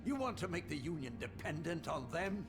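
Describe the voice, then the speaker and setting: An actor playing a stern, domineering middle-aged military schemer in a video game cutscene, with a commanding, rhetorical delivery. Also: male voice, on the high side, big pitch swings, normal speed, over music. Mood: scornful. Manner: commanding, rhetorical, forceful phrasing.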